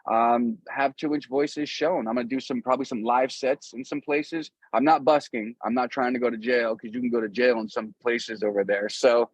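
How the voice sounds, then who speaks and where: A man's voice: medium pitch, steady tone, moderate pace, hardly any pauses, no echo. Guy musician doing a casual interview, rambly and earnest, slangy, kinda muffled dry audio.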